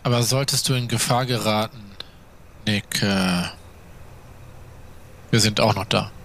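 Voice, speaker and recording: A man's voice: lowish voice, a little slow, a bit bright, slight background noise. Man playing a terse, formal male vampire. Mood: grave. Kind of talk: role-play audio drama.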